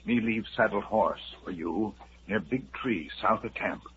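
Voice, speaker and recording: An actor playing a terse male scout who speaks in slow, clipped, broken phrases, in a 1950s radio drama. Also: fairly low pitch, lightly acted. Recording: muffled scratchy 1950s radio recording with loud hiss.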